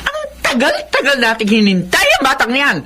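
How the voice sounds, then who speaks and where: A woman's voice: high voice, wildly swooping pitch, normal speed, background noise. A woman playing a stern, scolding middle-aged mother-in-law in a radio drama. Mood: angry scolding.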